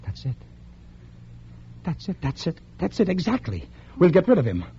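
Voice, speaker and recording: A desperate, lovesick suitor in old radio melodrama. His voice is dark and husky, ardent and intense. The vintage recording sounds muffled. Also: on the high side, wildly swooping pitch, normal speed, heavily acted. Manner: ardent, intense, repeats words for emphasis. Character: lovesick, desperate male lover, easily led to murder. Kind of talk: 1950s radio melodrama acting.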